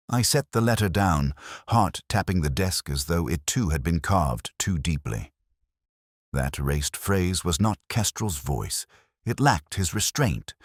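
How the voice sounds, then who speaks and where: A man narrating a scripted first-person detective story in a brooding, measured, literary manner. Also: extremely low pitch, husky, no echo, clean studio sound.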